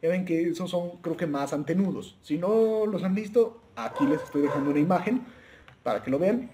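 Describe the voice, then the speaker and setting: A man's voice: sits high, moderate pace, dark tone, a little hiss or hum. A man casually demonstrating a handheld radio for viewers, hesitant, with frequent restarts and fillers, chuckling now and then as he talks to them as friends. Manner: chuckles often while talking. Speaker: radio gear reviewer. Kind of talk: casual product demo.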